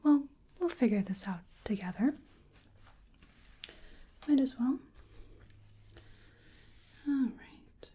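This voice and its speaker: A woman speaking softly in a breathy near-whisper, gently musing and hesitating through an unhurried ASMR medical exam roleplay. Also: high voice, animated. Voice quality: soft, breathy, often whispering. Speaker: ASMR performer playing a researcher examining a creature.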